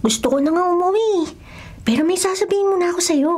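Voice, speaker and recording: A woman plays a jumpy little boy in a radio horror play. His voice is very high and shrill, excitable and fearful, over clearly heard music. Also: quick pace. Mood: nervous. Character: jittery, easily spooked young boy, the best friend. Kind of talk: radio horror drama acting. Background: background music.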